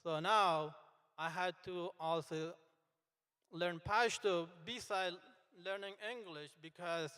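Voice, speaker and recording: A young adult man from Afghanistan, a native Dari speaker, giving an earnest commencement speech in English with halting restarts in a large hall. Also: high voice, fairly even intonation, bright, forceful tone, smooth. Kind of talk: speech to graduates. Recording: echoey hall, long reverb.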